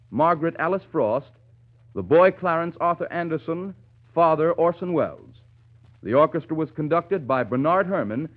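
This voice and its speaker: A man with an American accent reading formal scripted announcements as a 1930s radio announcer. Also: a little high. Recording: thin, narrow vintage 1930s radio broadcast.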